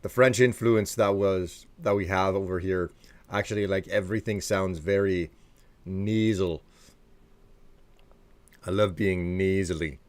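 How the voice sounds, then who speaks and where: Low-voiced man chatting casually, dry close sound. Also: steady tone, unhurried, long pauses, darkish tone, no echo. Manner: rambling, joking, plays around with accents. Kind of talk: casual livestream chat, answering viewers.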